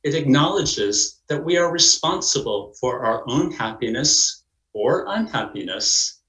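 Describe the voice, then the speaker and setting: Slow-paced man in an echoing room. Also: medium pitch, lively intonation, a bit bright. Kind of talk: scripted narration of religious principles. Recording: roomy, echoing sound.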